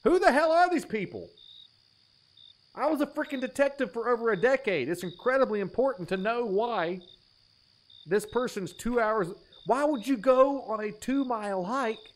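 A man's voice: very high voice, expressive, moderate pace. A man in his thirties giving crude, playful, exaggerated joking commentary as he streams a horror game.

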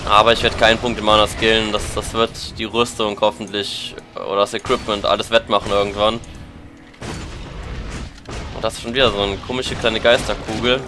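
A man's voice: on the low side, flat delivery, fairly quick, over music. A German man with a lingering cold, chatting casually as he plays and comments on a game, thinking aloud. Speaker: let's play commentator.

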